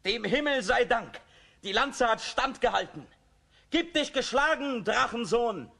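An actor playing a fearless, strong young folk-tale hero in a children's radio play, hearty and resolute. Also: male voice, very high voice, animated, a little slow, vocal fry, bright, forceful tone, full character voice. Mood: defiant.

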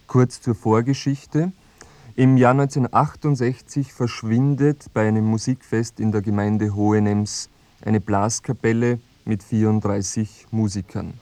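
A man playing a sober radio presenter who narrates a mystery case in a measured, formal, matter-of-fact way. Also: on the low side, mellow, dry recording. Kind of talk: scripted radio feature narration.

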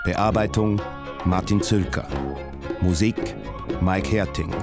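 A male radio announcer reading credits in a deep, unhurried voice. His delivery is slow and formal, with music playing loudly behind him. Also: fairly even intonation.

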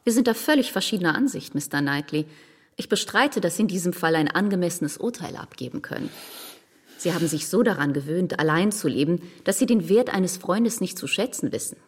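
An actress playing a loyal, gently defiant married former governess in a literary radio play, genteel, protective and admiring. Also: female voice, fairly low pitch, lively intonation, a little fast, slightly rough, long reverb tail.

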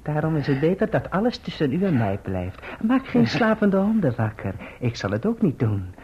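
An actor in a radio play as a scheming clerk, falsely humble, insistent and brazenly triumphant, with a husky voice. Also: male voice, fairly high pitch, big pitch swings, normal speed, full character voice. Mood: menacing. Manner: oily, mock-deferential, insistent. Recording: muffled old radio play recording.

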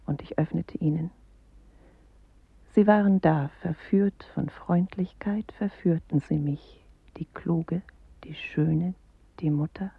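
An actress in a radio play voicing a woman's inner self, reciting poetry in a solemn, hushed, breathy way. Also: low-pitched, slow pace, no echo, phone-quality sound, exaggerated voice. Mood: hushed, haunted. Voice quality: breathy, husky. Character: inward female self, a solemn first-person poetic voice. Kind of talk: radio play poetic monologue.

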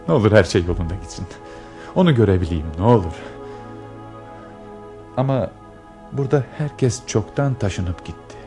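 A lovestruck army lieutenant in a radio drama, nervous and hopeful. His voice sits fairly low and moves in a theatrical way, with music behind it. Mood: anxious, hopeful. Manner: theatrical, murmuring to himself, brisk when giving orders.

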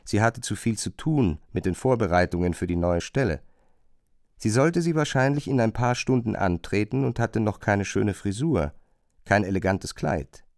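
A man narrating a literary radio drama in a measured, pointed, questioning manner. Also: on the low side, lively intonation, a little mellow, no echo, clean studio sound.

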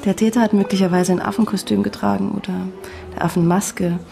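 A woman playing a guarded, self-questioning young reporter in a radio drama, at times in hushed, intimate asides. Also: mid-range voice, fairly even intonation, quick pace, creaky, soft background music, natural voice. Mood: guarded, conversational. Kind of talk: radio drama acting with whispered inner monologue.

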